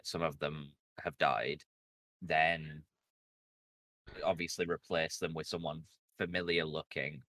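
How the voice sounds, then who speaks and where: Male co-host, deep voice, opinionated, thinks out loud. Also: no room sound. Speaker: podcast co-host. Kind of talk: casual podcast chat.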